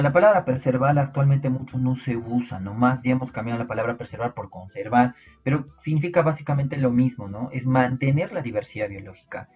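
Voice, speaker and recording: A man's voice: mid-range voice, a little mellow, highs cut off. A man with a Peruvian Spanish accent teaching an online biology class, explaining step by step and asking his students rhetorical questions. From Peru.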